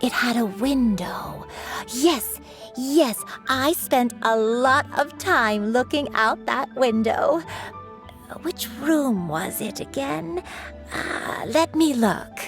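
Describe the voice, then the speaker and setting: Frail gravelly old granny. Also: female voice, sits high, expressive, faint music behind, full character voice. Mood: wistful. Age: older. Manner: slow, halting, gravelly. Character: frail forgetful old woman, gentle. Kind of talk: game voice acting.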